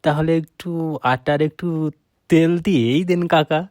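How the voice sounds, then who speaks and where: A man voicing a cheeky, clever young village boy in a cartoon, excitable and repeating words urgently. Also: fairly high pitch, normal speed, no room sound, close to an everyday voice. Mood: obliging. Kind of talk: cartoon acting. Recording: loud music under the voice.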